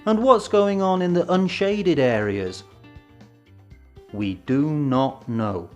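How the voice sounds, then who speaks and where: A man presenting an educational film in a scripted, measured, explanatory way with emphatic phrasing. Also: a little high, muffled recording. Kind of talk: scripted instructional narration. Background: background music.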